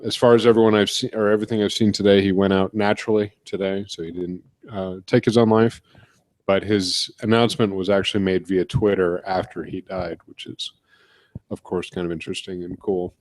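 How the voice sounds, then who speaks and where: Low guy podcasting from the couch, dry close mic, rambly with lots of uhs. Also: a little mellow, no room sound. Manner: chatty, drawn-out with ums and uhs. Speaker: podcast co-host. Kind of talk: casual chat, reading out news. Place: couch in a home studio. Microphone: close studio microphone.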